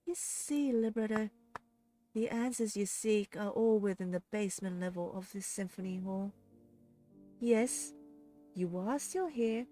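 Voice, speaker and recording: A woman voicing a mysterious woman villain with an obsessive, flippant and coldly teasing way of talking, raised somewhat above her own pitch. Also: measured pace, mellow, faint music behind, close to an everyday voice. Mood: mocking. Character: menacing, mocking woman villain speaking over a speaker.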